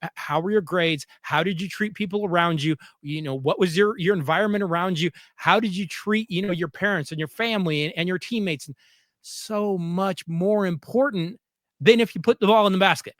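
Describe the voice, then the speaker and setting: A man's voice: sits high, a little fast, fairly bright tone, dry recording. A man hosting a conversational interview, emphatic and repeating phrases to stress his points. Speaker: podcast host, former coach.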